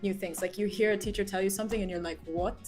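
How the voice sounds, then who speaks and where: Woman cohost rambling through an explanation, lots of ums, mid pitch, soft music under. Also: quick pace. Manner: animated, explains with lots of fillers. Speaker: co-host. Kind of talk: casual chat.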